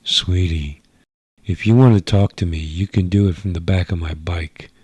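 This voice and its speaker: A man's voice: sits low, fairly even intonation, normal speed, darkish tone, husky, no echo. A man voicing a sassy young alien woman with a teasing, insolent drawl in a radio sci-fi story. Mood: cocky, defiant.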